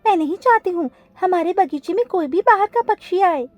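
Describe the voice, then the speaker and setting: A woman's voice: extremely high pitch, animated, moderate pace, a little mellow, no echo, pitched above the performer's own voice. A woman voicing a proud, protective butterfly queen in a cartoon story, speaking emphatically. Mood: angry, commanding.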